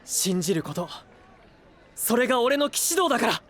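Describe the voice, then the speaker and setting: A man's voice: very high voice, big pitch swings, normal speed. A male actor playing an earnest, hot-blooded young knight in an anime audio drama, speaking with sincere, idealistic conviction. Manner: impassioned, sincere pleading.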